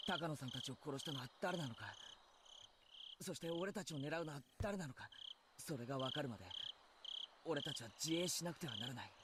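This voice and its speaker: Man acting an earnest, fast-talking teenage boy. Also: a little high, expressive, noisy background, lightly acted. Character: earnest, loyal teenage boy, protective friend. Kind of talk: Japanese visual novel voice acting.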